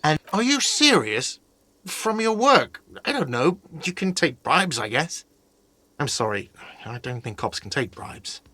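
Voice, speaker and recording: An actor playing an exasperated, sarcastic hostel manager in a video game, with an irritated, condescending way of talking. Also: male voice, mid-range voice, lively intonation, unhurried, sharp tone, breathy edge, heavily acted. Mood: condescending, weary.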